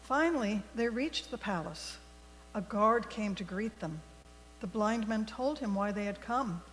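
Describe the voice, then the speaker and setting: Unhurried woman storyteller in an echoey hall. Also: mid-range voice. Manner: deliberate, expressive storybook reading. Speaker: worship leader telling a story for all ages. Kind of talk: read-aloud storytelling in a church service. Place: large indoor congregation space. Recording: echoey hall with mains hum.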